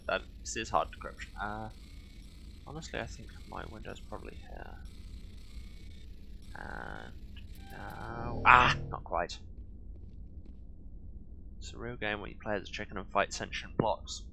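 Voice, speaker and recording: Low, creaky-voiced man doing game commentary. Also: big pitch swings, normal speed, bright, forceful tone, a little hiss or hum, low-quality recording. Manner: wry, rambling asides. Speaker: video game let's player. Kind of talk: casual, jokey playthrough commentary. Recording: game music behind the voice. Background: video game music.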